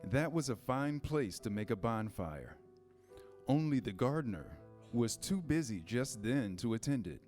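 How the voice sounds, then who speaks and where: A man's voice: mid-range voice, very animated, measured pace, darkish tone, faint music behind. A man reading scripted storybook narration in a staged reader's theater style.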